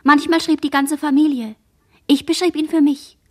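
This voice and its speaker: A woman's voice: high voice, fairly even intonation, normal speed, a little mellow, lightly acted. A woman playing a dazed young girl who murmurs a fragmented, incantatory inner monologue in a literary radio play. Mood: fragmented, musing.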